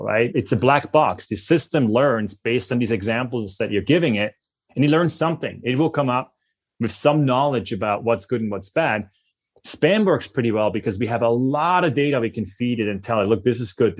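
A man in his forties with a Swiss accent, speaking as an expert on a panel and stressing his points with a tag of "right?". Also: on the low side. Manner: emphatic, peppers points with "right?". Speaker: CIO and security expert. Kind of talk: panel discussion.

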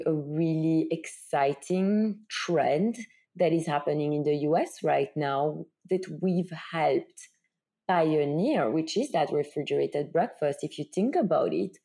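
Mellow, low-voiced Belgian woman. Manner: explanatory, rhetorical questions and check-in tags. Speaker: food brand founder being interviewed. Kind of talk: conversational interview answers.

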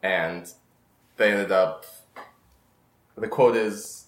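A man co-hosting a comedy podcast, reading out odd news and dropping deadpan one-liners in casual chat. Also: on the low side, extremely slow. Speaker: podcast co-host presenting stories. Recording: echoey room.

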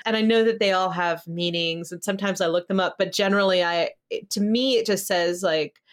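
A woman's voice: on the low side, fairly even intonation, brisk. A woman hosting a podcast, speaking in an informal, conversational way as she chats with a guest. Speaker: podcast host. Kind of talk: casual interview chat.

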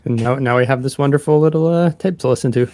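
A man's voice: medium pitch, fast, darkish tone, a little hiss or hum. A man hosting a podcast interview in casual conversation, with an informal, rambling way of putting things. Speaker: podcast host.

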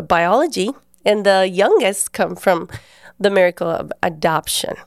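A woman's voice: medium pitch, vocal fry, smooth, no room sound, studio quality. Woman hosting a faith podcast. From Guatemala. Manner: earnest and conversational. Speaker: podcast host. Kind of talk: personal faith storytelling.

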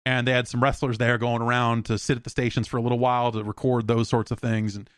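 A man's voice: medium pitch, level tone, fast talker, dry recording, clean studio sound. A man hosting a podcast, rambling through anecdotes in a blunt, informal, sweary way. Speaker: podcast host. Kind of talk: casual rambling solo talk with anecdotes.

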